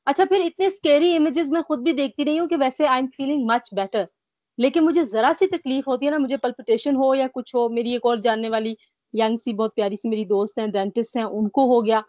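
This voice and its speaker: A woman's voice: high voice, brisk, darkish tone, no room sound. Breathless woman on a dull video call. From Pakistan. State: short of breath, tired; mild cough. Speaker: guest recovering from covid. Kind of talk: remote interview. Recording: dry, dull lo-fi call audio.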